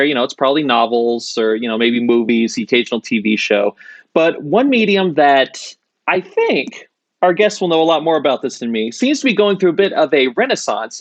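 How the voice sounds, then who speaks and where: A man's voice: a little high, no echo. A man hosting a podcast interview, chatty and joking, often correcting himself as he goes. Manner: joking, rambling with self-corrections. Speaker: podcast host. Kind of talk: casual interview chat.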